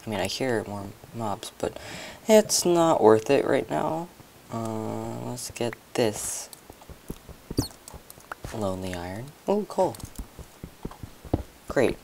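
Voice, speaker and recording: A hoarse young man talks his way through a video game, rambling and chatting to viewers as he plays. His voice has a gravelly, croaky edge. The recording carries a clear hiss of noise underneath. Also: medium pitch, expressive, takes it slowly, pauses often. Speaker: gameplay commentator. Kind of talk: casual gameplay commentary.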